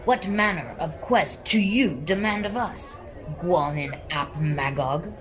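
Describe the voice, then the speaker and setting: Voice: medium pitch, lively intonation, takes it slowly, a crowd in the background. A young performer playing a proud, eager dwarf adventurer in a radio-style fantasy serial, with grandiose, formal speech. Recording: video-call recording with background voices.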